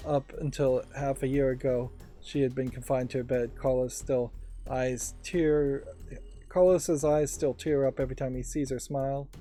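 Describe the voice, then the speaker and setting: Guy doing a lets play, relaxed mellow voice, kinda halting, bit of game music under him. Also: medium pitch. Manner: halting, thinking aloud. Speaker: let's play host. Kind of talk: casual gameplay commentary with reading aloud. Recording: quiet game music behind.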